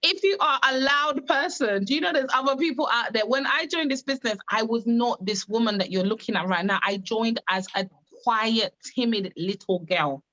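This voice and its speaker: A woman from Uganda, giving an emphatic, repetitive motivational pitch on a live call. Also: moderate pace, hardly any pauses, bright, forceful tone, highs cut off. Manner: emphatic, repetitive, testimony-driven. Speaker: wellness business coach on a live call.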